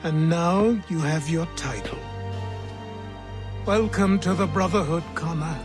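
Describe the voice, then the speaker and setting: An actor playing a stern but fond elderly mentor in a video game. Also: male voice, on the high side, a little slow, close to an everyday voice. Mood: solemn. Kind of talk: video game cutscene acting. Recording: music under the voice.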